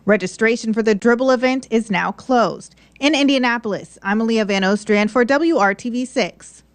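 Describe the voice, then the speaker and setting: An American woman reporter reads a scripted television news package. Her voice sits somewhat high for a woman. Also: moderate pace.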